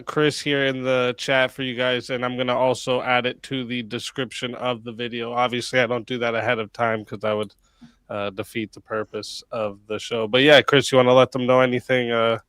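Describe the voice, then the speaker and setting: A man hosting and moderating a debate stream, wrapping up with rambling thanks to the chat, his voice going hoarse as he gets sick. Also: medium pitch, a bit bright, no echo. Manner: rambling, many fillers, thanks the chat at length. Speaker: debate show host and moderator. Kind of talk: casual livestream wrap-up.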